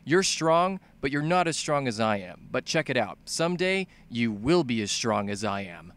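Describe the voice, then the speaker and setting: A cool, self-assured male robot hero from a video game, acted out by a male narrator. He speaks levelly and frankly, as a mentor, in a bright voice. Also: medium pitch, big pitch swings, normal speed. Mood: calm, confident.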